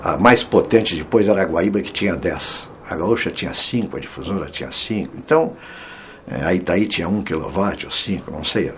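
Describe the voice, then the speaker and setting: A man's voice: sits low, animated, brisk, fairly bright tone, slight background noise. An older man recalling the past as an interviewee, his phrases trailing off toward the end. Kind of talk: interview recollection.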